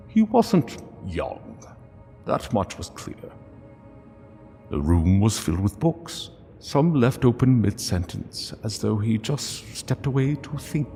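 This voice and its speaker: A man narrating a sombre story in a solemn, hushed and deliberate way. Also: on the low side, gravelly, in a large hall.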